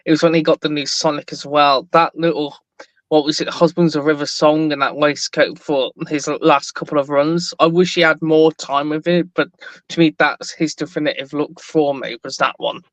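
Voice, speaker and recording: A man chatting on a podcast with a deadpan, wry manner. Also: fairly high pitch, moderate pace, no room sound. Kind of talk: casual podcast chat. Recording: dull lo-fi mic.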